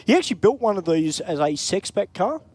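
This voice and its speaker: A man's voice: sits high, big pitch swings, a little fast, darkish tone. A man with an Australian accent calling drag races in live commentary, chatty and upbeat in easy banter.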